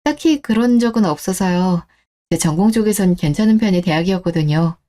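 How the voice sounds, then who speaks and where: A woman's voice: on the low side, animated, brisk, mellow, lightly acted. A woman playing a level-headed young bride-to-be in a scripted story-radio drama, composed, direct and matter-of-fact.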